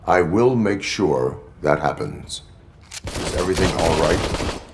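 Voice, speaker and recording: A man voicing a stoic cyborg police officer in a video game, with stiff, formal, deadpan delivery. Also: sits low, slow, breathy edge, heavily acted. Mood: flat, dutiful.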